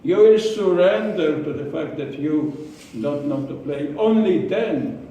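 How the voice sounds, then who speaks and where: A man with an Israeli accent giving a prepared speech to a club, slow and deliberate, with pauses and repeated words. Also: a little high, lively intonation, warm tone, highs cut off. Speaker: club speaker. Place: meeting room. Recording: echoey room from far off.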